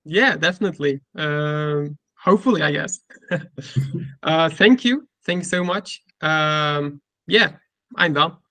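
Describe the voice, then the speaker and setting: A man with a Turkish accent hosting a casual technical interview, speaking haltingly and often repeating and rephrasing his words. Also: fairly high pitch, sharp tone, no echo. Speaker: interviewer and cybersecurity content creator.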